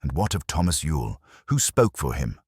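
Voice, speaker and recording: A man voicing a sharp, challenging woman in a mystery story. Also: a bass voice, very animated, normal speed, slightly rough, no room sound, clean studio sound, heavily acted. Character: sharp-tongued, demanding woman from a historical society.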